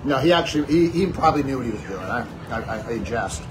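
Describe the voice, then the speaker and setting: A man in his forties talks through a fair dessert, circling back over his words with frequent fillers. His voice sits at an ordinary middle pitch. It is recorded on a phone in a small wooden shed, with clear background hiss. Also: animated, fast. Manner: wordy, hesitant, many fillers and restarts. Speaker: food reviewer. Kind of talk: casual chat.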